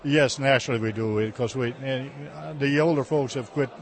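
A man from Texas, a heritage center director answering interview questions in a halting, folksy way, often repeating words. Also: medium pitch, steady tone, a little hiss or hum. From Texas, USA.

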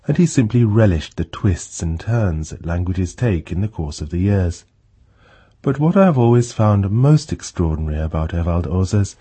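Low dark-voiced guy narrating a radio feature, calm and even. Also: slightly rough. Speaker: radio reporter.

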